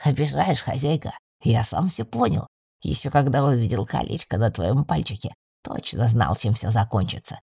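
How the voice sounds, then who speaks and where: A woman's voice: very deep voice, lively intonation, moderate pace, vocal fry, warm tone, breathy edge, dry recording, studio quality, pitched below the performer's own voice, heavily acted. A woman voicing a cheeky little male axolotl familiar, teasing and fondly patronizing in a self-assured way.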